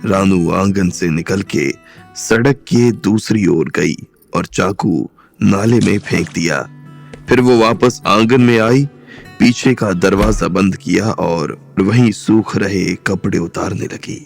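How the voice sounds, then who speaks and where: A man narrating a story with a steady storytelling manner. His voice is very low and gravelly, with faint music running underneath. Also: slow pace. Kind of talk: audiobook narration. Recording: soft music bed underneath.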